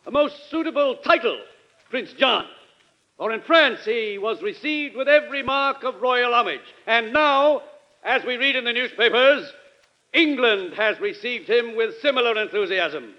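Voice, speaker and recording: A man's voice: very high-pitched, lively intonation, measured pace. An actor playing a sarcastic, indignant congressman orating pompously in an old-time radio drama. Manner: declamatory, pompous. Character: sarcastic, indignant congressman giving a florid speech. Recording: dull, band-limited old radio recording.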